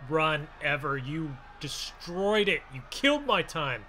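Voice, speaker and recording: An American man hosting a gaming roleplay video, exclaiming in casual, excited commentary. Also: on the high side, measured pace, crowd noise. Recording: clear noise, vehicle ambience behind.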